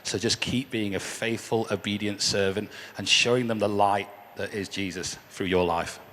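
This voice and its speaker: A man with a hoarse, croaky voice gives an earnest church testimony as a guest speaker, often repeating words as he restarts. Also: on the low side, fairly even intonation, normal speed, a bit bright, a little hiss or hum. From England. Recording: echoey hall with faint ambience.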